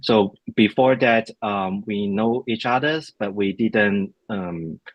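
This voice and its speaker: A man from Hong Kong with a Hong Kong accent, speaking casually in a halting, polite way as he introduces himself and answers questions. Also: fairly low pitch, no echo, highs cut off. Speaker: composer and music theory teacher.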